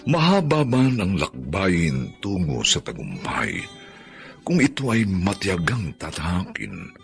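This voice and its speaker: A man's voice: low-pitched, huge pitch swings, slow pace, vocal fry. A man with a Filipino accent giving solemn, dramatic, poetic narration for a radio drama. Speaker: narrator, host. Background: faint music.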